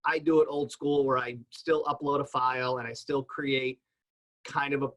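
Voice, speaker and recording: Bright, forceful man explaining casually. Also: medium pitch, flat delivery, moderate pace. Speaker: podcaster and panelist. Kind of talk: casual explanatory panel talk.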